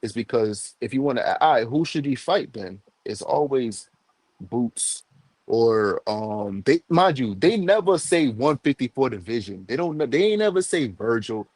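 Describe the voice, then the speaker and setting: A man's voice: medium pitch, big pitch swings, unhurried, a little mellow, dry recording. A man in his late twenties co-hosting a casual boxing chat, agreeing often and laying out his points step by step. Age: 20s. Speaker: podcast co-host.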